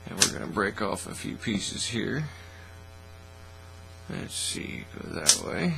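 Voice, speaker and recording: A man hosting a casual hands-on hobby demonstration in a folksy, informal, unhurried way, recorded in a small indoor workshop studio. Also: fairly low pitch, vocal fry. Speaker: host of a hobby workbench channel. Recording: steady mains hum, faint noise.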